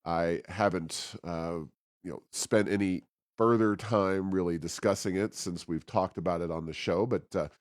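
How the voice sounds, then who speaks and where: A man with a low-pitched voice talks politics as a show co-host. His delivery is conversational and halting, with breaks in the middle of sentences. The sound is dry, with very little room in it. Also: fairly even intonation, dry recording. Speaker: talk show co-host, think tank president.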